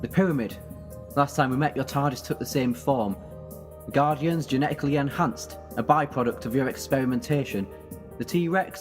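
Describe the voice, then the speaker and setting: A man's voice: on the high side, close to an everyday voice. A man acting in an audio drama as an intense, protective, heroic time-travelling alien. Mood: resolute. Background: music.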